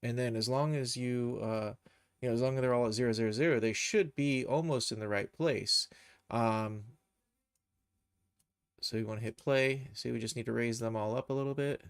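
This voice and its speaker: A man giving a casual live software tutorial, thinking aloud and muttering to himself as he works. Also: mid-range voice, steady tone, normal speed, clean tone, no room sound, clean studio sound. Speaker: streamer, animation tutor.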